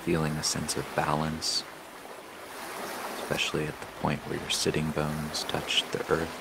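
A man leading a guided meditation in a slow, soothing, measured way with long pauses. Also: rumbling low, completely flat delivery, gravelly. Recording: loud ambient water noise throughout. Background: flowing water and ocean ambience.

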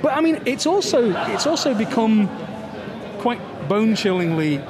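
An older man hosts a festival interview, rambling and restarting his sentences in a fairly high voice. A busy crowd chatters all around him as he speaks into a handheld mic. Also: sing-song, moderate pace. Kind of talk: casual interview. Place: festival media wall, indoors, busy.

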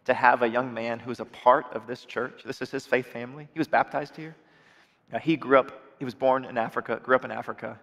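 A man's voice: medium pitch, a bit bright. A man in his forties preaching an earnest sermon appeal from a church stage, often restarting and repeating words. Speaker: pastor. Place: dark church stage with screens. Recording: long reverb of a large hall.

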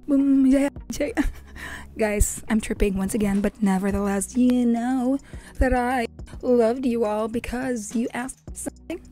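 A young woman hosting a reaction video, talking casually to the camera in a hoarse voice, playful and rambling, into a handheld microphone in a small dark room. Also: on the high side, steady tone, a little slow.

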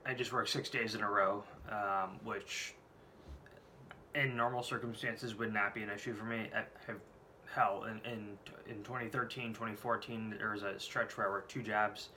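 Low-voiced man with a scratchy head cold. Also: steady tone, moderate pace, sharp tone. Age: late 20s. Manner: candid and rambling, swears freely. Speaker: vlogger. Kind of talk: casual personal vlog. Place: small room at home, indoors.

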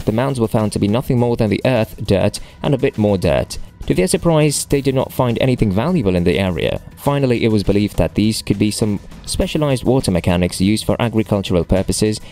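A man's voice: on the low side, warm tone. A man narrating a scripted documentary list as a voice-over, reading evenly.

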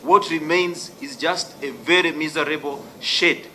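Middle-aged man, bright voice, aggrieved, faint hiss. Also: a little high, a little slow. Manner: aggrieved, emphatic. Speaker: member of parliament. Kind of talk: press statement. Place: committee room. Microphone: desk gooseneck mic.

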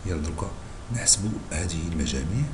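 Man, very low voice, Moroccan accent, husky, hoarse with a cold, patient math teacher. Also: animated, background noise. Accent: Moroccan Arabic. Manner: slow and patient, checking in with listeners. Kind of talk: screen-recorded math lesson.

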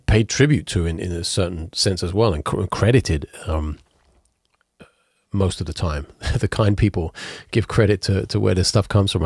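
Congested man with a deep low voice. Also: a little mellow, no echo, studio quality. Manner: rambling, self-correcting, frank. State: congested, stuffy nose. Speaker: podcast host and musician. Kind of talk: casual solo talk while playing piano. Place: home music studio with keyboards. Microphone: studio mic on boom arm, headphones on. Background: his own piano playing.

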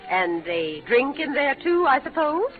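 An actress playing a prim, indignant older aunt in a radio Western, fussy, scolding and easily alarmed. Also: female voice, sits high, sing-song, measured pace. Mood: disapproving. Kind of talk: 1940s radio western acting. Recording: tinny old radio recording, music behind.

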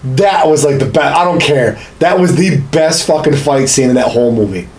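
A man in his thirties with a somewhat high voice, bantering as a podcast co-host. He tells stories in a brash, sweary way, recorded in a small home studio. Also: moderate pace, darkish tone, roomy sound. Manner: brash, profane. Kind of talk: casual banter and storytelling. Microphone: desk boom microphone.

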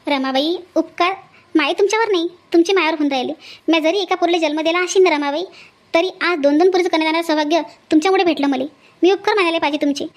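A woman's voice: very high-pitched, quick pace. A woman voicing a kindly, bustling village mother in an amateur cartoon comedy, earnest and chatty, with a Varhadi Marathi accent. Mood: earnest, reassuring.

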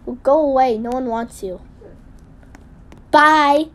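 A woman's voice: high voice, slow pace. A woman voicing a mean, spoiled, sneering older sister in an amateur game roleplay drama. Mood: scornful. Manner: snappy, scornful.

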